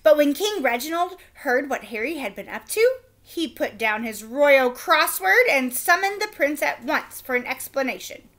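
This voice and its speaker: A woman teacher reading a chapter book aloud to children with expressive, animated storytelling. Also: high voice, moderate pace, hardly any pauses.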